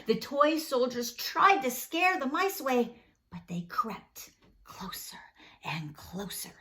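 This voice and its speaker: A woman reads a children's story in a high voice at a slow pace. Her narration is dramatic and careful, with lots of rise and fall in pitch. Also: sing-song, pushed and bright.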